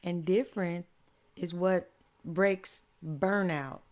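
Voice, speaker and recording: A woman life coach with a slightly hoarse, raspy voice, giving slow, encouraging faith-based advice. She repeats her key lines and adds affirming "mm-hmm" asides. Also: lowish voice, steady tone, darkish tone. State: voice a bit hoarse.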